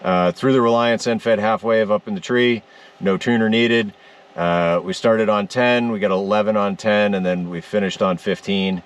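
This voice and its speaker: A man with a fairly low voice hosts a casual vlog. He talks off the cuff in a halting, upbeat way, picked up from some distance by the microphone. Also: steady tone, clear, resonant tone. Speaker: ham radio vlogger, host. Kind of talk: casual unscripted vlog chat. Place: rural homestead on a rainy day.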